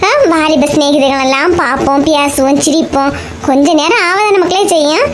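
A woman's voice: high-pitched, fairly quick, a little hiss or hum, close to an everyday voice. A woman voicing a bustling, chatty village mother in a comedy cartoon, with colloquial Kanyakumari Tamil dialect and a nagging manner. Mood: coaxing.

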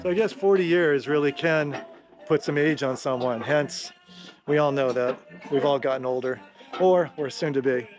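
A middle-aged man with a slightly high voice talks easily outdoors in a sunny boat yard. He rambles a little, restarting sentences and dropping fillers, into an action camera held at arm's length. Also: moderate pace, highs cut off, music in the background. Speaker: vlogger rebuilding a trimaran. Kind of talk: casual walk-and-talk vlog.

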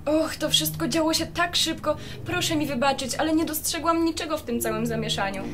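A woman's voice: high-pitched, a little fast, close to an everyday voice. A woman playing a bitter, indignant long-married wife in a radio play, dramatic and affronted. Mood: evasive. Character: indignant, bitter wife of twenty years, a suspect. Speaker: amateur radio drama actor.

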